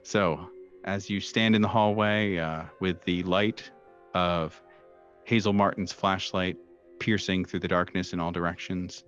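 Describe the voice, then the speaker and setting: Real deep guy game mastering a horror rpg, halting descriptions, bit of music behind. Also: fairly even intonation, lo-fi sound. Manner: halting, hesitant descriptive delivery. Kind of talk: improvised narration of a horror tabletop game. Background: soft background music.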